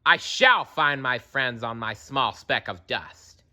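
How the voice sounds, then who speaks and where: A man doing a gentle, earnest big elephant. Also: mid-range voice, animated, slow, heavily acted. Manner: earnest, pleading. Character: kindly worried male elephant, big and gentle.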